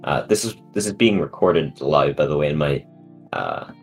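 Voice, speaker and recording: A young man hosting a casual podcast, joking and self-deprecating, with halting, stop-start delivery. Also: extremely low pitch, animated, gravelly, faint music behind. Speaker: podcast host. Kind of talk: casual chat.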